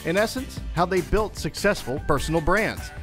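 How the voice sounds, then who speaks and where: Male announcer for scripted show intro, fairly high voice, prominent music underneath. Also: expressive, normal speed. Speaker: podcast announcer. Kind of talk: scripted promotional intro narration. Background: prominent music bed.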